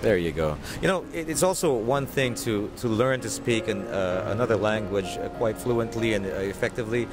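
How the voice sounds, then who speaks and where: A man from the Philippines, Tagalog his native tongue, talks in a friendly, unhurried way. His voice sits on the low side, and clear music plays behind him. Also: expressive. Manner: friendly, measured, with frequent fillers. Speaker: conversation partner. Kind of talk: casual conversation. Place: in Tokyo.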